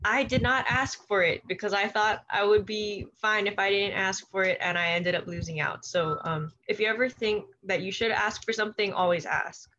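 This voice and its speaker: A woman's voice: mid-range voice, moderate pace, hardly any pauses, pushed and bright, lo-fi sound. A young woman from Hawaii, an orientation leader, earnestly sharing advice with a personal story. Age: young adult. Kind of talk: casual panel advice.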